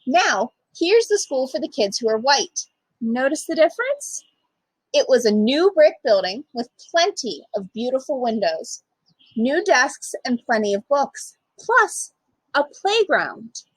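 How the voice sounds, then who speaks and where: Animated, high-voiced woman reading a storybook. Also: measured pace, husky, dry recording, poor audio. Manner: animated, emphatic storytelling for young children. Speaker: storytime reader, literacy program manager. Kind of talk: expressive children's picture book read-aloud.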